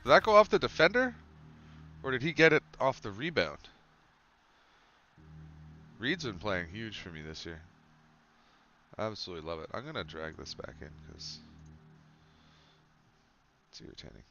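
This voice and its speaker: Stuffy-nosed male streamer, low and sharp-edged, relaxed musing with quick excited outbursts. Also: highs cut off, faint music behind. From Canada. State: stuffed up with a cold. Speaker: streamer playing a football management game. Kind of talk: casual gameplay commentary.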